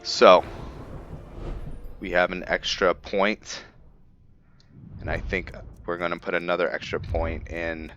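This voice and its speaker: A man doing casual roleplay commentary over a video game, thinking aloud in a halting way. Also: low voice, little pitch movement, bright, forceful tone, muffled recording, a little hiss or hum. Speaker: gaming video host. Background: game music.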